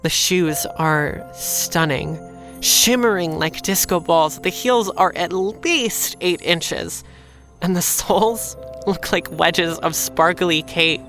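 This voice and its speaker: A woman playing a wry, defiant working-class heroine, telling her own story deliberately in an audio drama. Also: on the low side, a bit bright, soft background music. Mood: amused, admiring. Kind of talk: first-person audio drama narration.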